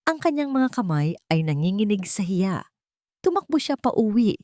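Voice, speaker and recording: A woman storyteller reading a scripted love story with emotive, deliberate phrasing. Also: medium pitch, big pitch swings, warm tone, no room sound, studio quality.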